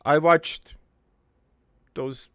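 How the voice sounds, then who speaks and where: A man playing a gruff, crude army veteran turned prankster, telling his regretful life story in a halting, matter-of-fact ramble for an improvised cartoon monologue. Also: fairly high pitch, fairly even intonation, sharp tone, no room sound, studio quality, heavily acted.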